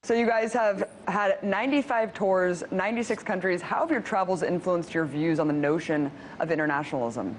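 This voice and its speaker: A young woman hosting a TV interview, brisk and upbeat as she puts pointed questions to her guest. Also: on the low side, a little hiss or hum. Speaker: TV host.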